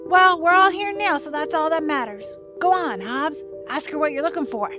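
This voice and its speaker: A woman's voice: sits high, normal speed, music in the background, lightly acted. A woman voicing a tiny, cheeky, upbeat adventuring sidekick in an audio drama, perky and teasing. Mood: breezy, encouraging.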